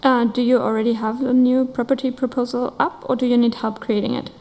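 A woman's voice: on the high side, little pitch movement, mellow, in a large hall. Upbeat woman moderating a conference Q&A. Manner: upbeat, brisk. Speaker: session moderator. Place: conference room.

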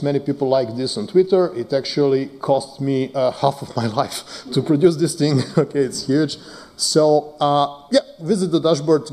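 A man whose first language is Serbo-Croatian, giving a conference talk as a data scientist, halting with many fillers and joking asides. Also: mid-range voice, mellow. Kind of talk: conference talk with live demo.

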